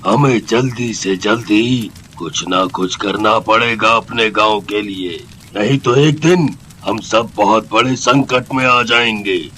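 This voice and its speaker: Slow, low-voiced village headman played by a man. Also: pushed and bright, slight background noise. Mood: worried. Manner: earnest, deliberate. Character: worried village headman, earnest and responsible. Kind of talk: cartoon story acting.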